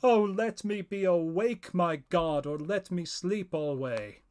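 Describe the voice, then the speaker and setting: A man's voice: high voice, takes it slowly, a little mellow, no echo, clean studio sound, voice pushed up. A man voicing a haunted, awestruck old-time mariner with fervent, exclamatory delivery in a dramatic poetry reading. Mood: pleading.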